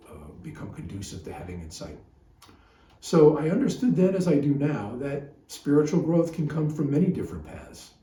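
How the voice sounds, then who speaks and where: Mellow older man in his seventies. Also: lowish voice, roomy sound, slight background noise. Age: 70s. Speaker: podcast host, retired psychotherapist. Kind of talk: reflective personal podcast talk.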